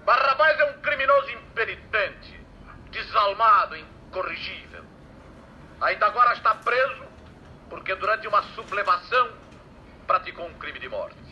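An imperious Roman governor haranguing a crowd. The voice is high and forceful, with grand theatrical declamation. It comes from a dull old 1950s radio drama with music behind. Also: male voice, animated, moderate pace, a little hiss or hum, exaggerated voice. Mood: stern oratory.

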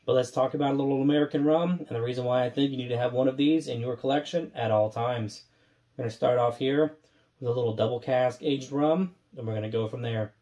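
A man in his thirties from Texas with a slightly raspy, allergy-congested voice, chatting enthusiastically while reviewing rums at a home bar. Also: mid-range voice, fairly even intonation, quick pace, dark tone, highs cut off. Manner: enthusiastic, conversational, holding up bottles while tasting. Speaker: rum reviewer. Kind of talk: casual spirits review. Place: indoor home bar room.

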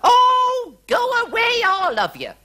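A man voicing a fussy, highly strung storybook queen, imperious and melodramatic in her declaiming. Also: wildly swooping pitch, unhurried, bright, forceful tone, heavily acted. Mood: flustered, scolding. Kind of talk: children's story character voice.

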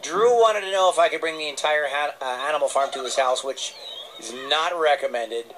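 A man's voice: on the high side, a little fast, a bit bright, low-quality recording. A man hosting a children's radio show in a casual, playful way. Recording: radio played from tablet, some noise.

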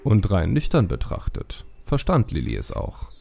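A man narrating a fantasy audiobook in a measured, expressive storytelling manner. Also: on the low side, creaky, slightly rough.

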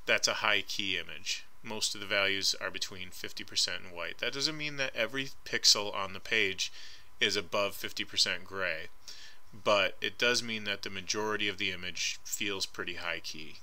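Man art instructor, slide voice-over, bright lower voice, casual and hedging. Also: moderate pace. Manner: casual and hedging, frequent ums. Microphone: voice-over recorded over slides.

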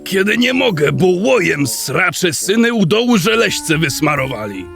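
A man playing a wary, grumbling old dwarf thief in an audio drama, with a gravelly voice and rustic, archaic dialect. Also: high voice, big pitch swings, a little slow, fairly bright tone, faint music behind, heavily acted. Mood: frustrated. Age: older.

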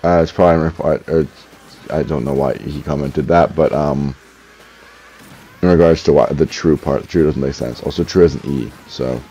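A young man with a deep voice, reading viewer comments aloud while gaming at home, dry and a little sarcastic, often stammering as he restarts his sentences. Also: normal speed, warm tone, husky. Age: young adult. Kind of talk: casual commentary while playing. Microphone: headset. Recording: slightly dull lo-fi audio.

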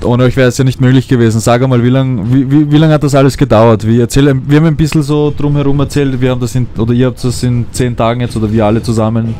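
A man in his thirties with an Austrian accent hosts a casual podcast, speaking haltingly as he searches for words. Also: medium pitch, steady tone, warm tone. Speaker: podcast host. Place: outdoors at a table by an open-air gym. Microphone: desk microphone. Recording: outdoor mic with faint music and traffic.

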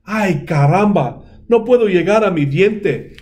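A man voicing a proud, stubborn, gallant mouse from a storybook, with emphatic drawn-out exclamations. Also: high-pitched, lively intonation, darkish tone, a little hiss or hum, pitched above the performer's own voice. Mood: agitated.

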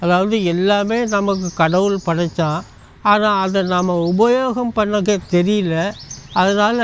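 An older man, a traditional medicine practitioner, speaking outdoors to the camera at an unhurried pace and listing items one by one. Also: high voice, clean tone. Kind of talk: explaining to camera. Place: outdoors among trees.

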